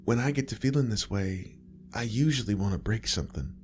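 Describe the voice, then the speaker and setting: A kind, wistful nurse, voiced by a man with a deep voice lifted a little higher, who speaks in a soft, confiding way that drops toward a whisper. The low faint hiss stays under the storybook reading. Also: a little fast, lightly acted. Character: kind, sad middle-aged female nurse.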